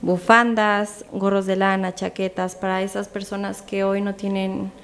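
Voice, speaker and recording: A woman's voice: mid-range voice, flat delivery, a little slow, creaky. A woman from Mexico with a Mexican accent, speaking conversationally as a guest in a radio interview. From Guanajuato, Mexico. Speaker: radio guest promoting a charity campaign.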